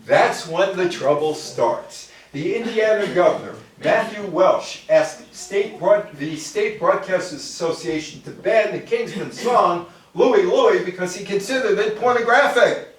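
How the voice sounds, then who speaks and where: Older american guy giving a talk, kinda high voice, super echoey room, chatty and off mic. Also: a little slow. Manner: conversational, quizzing listeners, digressing into asides. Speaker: lecturer. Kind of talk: public talk to a live audience, part read from notes, with questions to listeners. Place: indoor room with live audience. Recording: very echoey room, distant microphone.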